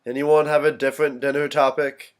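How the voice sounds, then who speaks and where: A man acts out a dutiful young adult son in a staged family drama. His voice sits a little high for a man, and he talks in a casual, easygoing way with a wry edge. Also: level tone, fairly quick, clear, resonant tone, close to an everyday voice. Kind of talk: staged play reading.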